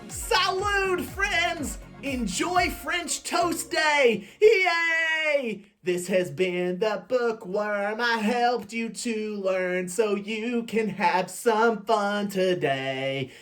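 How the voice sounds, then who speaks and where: A man's voice: very high-pitched, animated, drawn-out words, a bit bright, voice pushed up, full character voice. A man voicing a cheerful bookworm who teaches French words to children in a bouncy, exaggerated, sing-song way. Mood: cheery. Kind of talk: children's show puppet segment. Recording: roomy echo, faint music.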